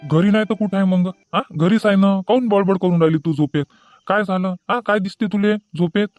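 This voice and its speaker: A man's voice: high-pitched, a little fast, no room sound, lightly acted. A man playing a puzzled husband waking his wife from a nightmare, speaking with a Varhadi Marathi accent and fussing at her with nagging questions. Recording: dry close recording with faint music.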